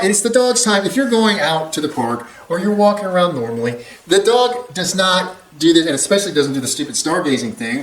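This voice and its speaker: Older guy ranting blunt about dog training, kinda high voice, roomy echo and a little hiss behind. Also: a bit bright. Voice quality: opinionated, blunt, rambling with chuckles. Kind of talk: reaction commentary monologue. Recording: echoey room with faint background hum.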